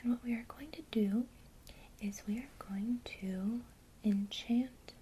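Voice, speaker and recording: Slow whispering woman, soft ASMR. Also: on the high side, a little hiss or hum. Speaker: ASMR creator teaching witchcraft.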